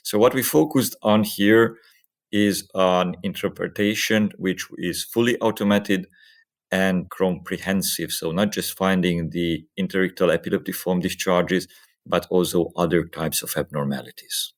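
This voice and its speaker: Male physician researcher, deep register, explaining his work as an interview guest. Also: steady tone, a little slow.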